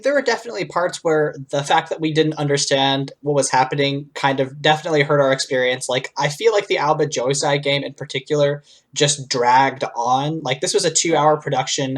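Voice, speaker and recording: Casual, discursive man co-hosting a podcast. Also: a little high. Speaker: podcast co-host.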